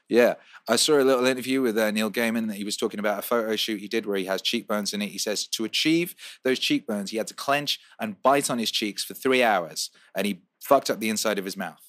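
A man's voice: on the low side, a bit bright, no echo, studio quality. A man from the UK who grew up in Wales, hosting a livestream and reading out viewer questions in a loose, profane, rambling way. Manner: loose and profane, riffs and repeats himself. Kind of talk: casual livestream chat.

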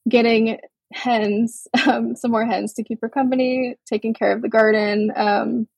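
A young adult woman from Hawaii, a guest artist speaking in a relaxed, thoughtful way with many pauses and restarts. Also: fairly high pitch, vocal fry, breathy edge, no echo. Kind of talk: casual interview over a call.

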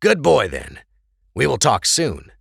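A man's voice: mid-range voice, wildly swooping pitch, slow pace, vocal fry, a bit bright, slightly rough, dry recording, clean studio sound, pitched above the performer's own voice, full character voice. A man voicing stern, scornful prison guards, raising his voice into a harsh, scolding tone. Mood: scheming.

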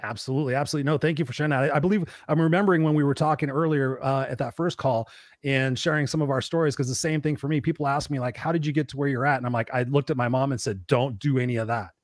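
A man's voice: mid-range voice, steady tone, rapid-fire, dry recording, clean studio sound. A man hosting a live online talk show, rambling and upbeat with many fillers. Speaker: host.

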